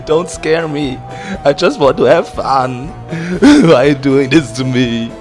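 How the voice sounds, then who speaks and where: Animated, sweary man over background music. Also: on the high side, slow pace. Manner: animated, theatrical, self-deprecating, swears freely. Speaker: video game reviewer. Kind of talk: casual, profane review commentary over gameplay.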